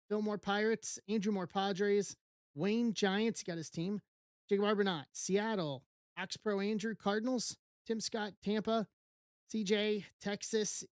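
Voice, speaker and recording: East Coast woman hosting a live break stream, unhurried list-reading, dry close mic. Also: mid-range voice, dry recording. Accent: East Coast American. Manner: slow, reading out a list in short bursts. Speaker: card break host.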